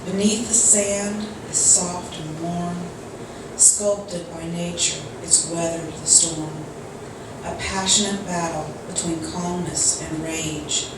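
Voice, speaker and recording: Slow, lowish-voiced woman reciting in heavy echo. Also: fairly even intonation. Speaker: congregation member reading poetry. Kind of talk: poetry recitation. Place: large echoey indoor room at a church service, mic at a distance. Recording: distant, very echoey, loud noise.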